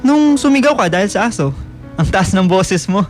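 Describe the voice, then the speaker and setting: A man playing a friendly, gentle high school boy with a casual, teasing way of talking in a radio drama. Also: animated, normal speed. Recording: music under the voice.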